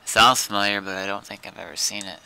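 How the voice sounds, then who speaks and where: A man's voice: lowish voice, steady tone, fast, gravelly, pushed and bright, smooth, rough recording. A man livestreaming a game, chatting and commentating casually. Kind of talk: casual gaming commentary and chat. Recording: background noise, keyboard typing.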